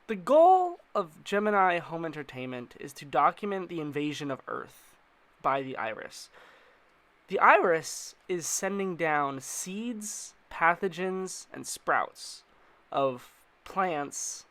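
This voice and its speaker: A man with a slightly high voice narrates an explainer video. He keeps it informal and conversational, dropping slang and playful asides into his commentary. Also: very animated, slow pace, fairly bright tone.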